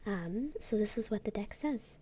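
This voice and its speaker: Woman, soft whispery ASMR voice, mellow and warm, gentle and unhurried, a bit sick. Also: medium pitch, lively intonation, husky. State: sick, occasional throat clearing. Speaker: YouTuber. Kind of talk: whispered ASMR tarot deck unboxing.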